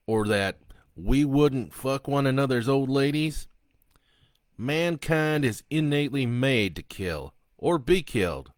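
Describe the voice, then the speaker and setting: A man's voice: medium pitch, no echo, studio quality, voice pushed up. A man voicing a crude, gloating male killer on death row who mocks a priest. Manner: taunting, vulgar, mocking.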